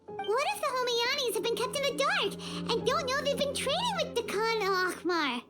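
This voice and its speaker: A woman voicing a small, childlike companion creature, earnest, curious and squeaky, in a video game. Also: lively intonation, moderate pace. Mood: surprised, doubtful. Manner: exclamatory, inquisitive. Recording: music behind the voice. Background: game music.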